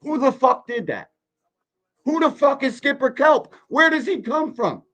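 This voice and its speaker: A man's voice: extremely high pitch, very animated, a little slow, fairly bright tone. A man in his forties hosting a reaction livestream, giving blunt, profane, emphatic commentary full of rhetorical questions. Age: 40s. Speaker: podcast host reacting to a video.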